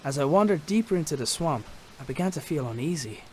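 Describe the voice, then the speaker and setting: A man's voice: a little high, expressive, fast, a little hiss or hum, close to an everyday voice. A man voicing a father narrating his journey. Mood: uneasy. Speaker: game narrator character. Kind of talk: scripted narration.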